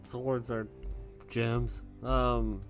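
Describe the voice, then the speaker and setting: A man's voice: mid-range voice, little pitch movement, very slow. A man playing a tabletop game, talking casually and hesitantly. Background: music.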